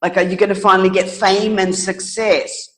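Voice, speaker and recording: A woman answering interview questions in a casual, reflective way, earnest and halting, pausing to think and correcting herself. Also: mid-range voice, little pitch movement, a bit bright, low-quality recording. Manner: earnest, halting, thoughtful pauses with self-corrections. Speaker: interview guest, author.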